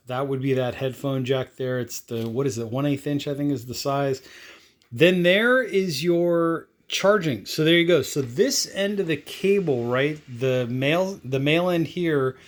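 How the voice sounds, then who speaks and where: A man with a medium-pitched voice presents a hands-on product review. He speaks informally and without a script, rambling a little as he explains each step and talks through his actions aloud. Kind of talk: casual unscripted product demonstration.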